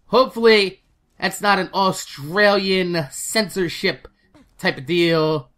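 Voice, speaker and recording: A woman hosting a gaming video, giving casual, animated news commentary with informal talk and plenty of swearing. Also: lowish voice, slow pace.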